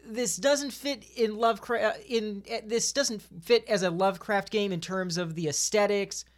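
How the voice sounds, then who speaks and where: Podcast panelist, mid pitch, casual gaming chat, rambling, stammering, repeated phrases. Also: fairly even intonation, moderate pace. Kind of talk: casual chat about video games.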